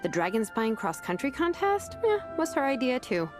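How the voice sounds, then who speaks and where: A woman voicing a laid-back, wry young female vice captain who talks in a relaxed, teasing way. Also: sits high, moderate pace. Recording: game cutscene with background music.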